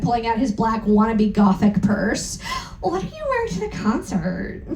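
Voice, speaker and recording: A woman's voice: high-pitched, a little hiss or hum. A woman giving a comedic dramatic reading of fan fiction to a live audience, theatrical and exaggerated, with wry asides. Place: live event room aboard a cruise ship. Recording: echoey live room.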